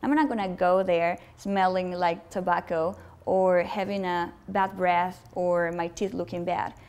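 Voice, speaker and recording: A woman's voice: fairly low pitch, steady tone, a little slow, a little mellow, clean tone. A woman from Mexico, a business owner and actress, answering interview questions in a matter-of-fact way. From Sinaloa, Mexico.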